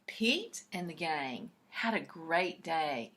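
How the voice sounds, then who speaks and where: A woman reading a picture book aloud to young children in an expressive, deliberate, explanatory way. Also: deep voice, noisy background.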